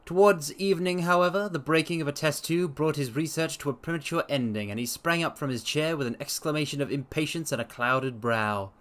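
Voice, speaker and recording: A man streaming a dramatic story reading, with theatrical, expressive delivery and casual asides. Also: on the high side, fairly quick, few pauses. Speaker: streamer and reader.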